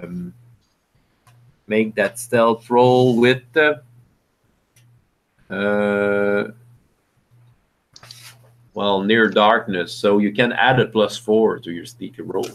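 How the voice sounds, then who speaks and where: A man's voice: fairly low pitch, fairly even intonation. A man with French as his first language, narrating a tabletop game as game master with many restarts. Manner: halting, many restarts and fillers.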